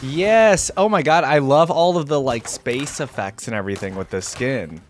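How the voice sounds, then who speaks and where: A young man giving casual, expressive reaction commentary as a video host, easily amused. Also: medium pitch, moderate pace. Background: game audio and music.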